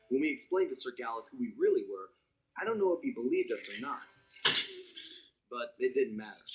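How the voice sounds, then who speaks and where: A man's voice: fairly high pitch, fairly bright tone, poor audio. An American man voicing a cool, loyal young master thief who narrates his adventures in a wry, conversational storytelling way for a video game cutscene. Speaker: narrator and hero.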